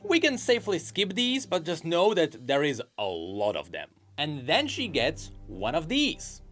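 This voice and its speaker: A man's voice: on the high side, wildly swooping pitch, measured pace, a bit bright, soft background music. A man narrating a scripted commentary video about game character lore, eager and explanatory.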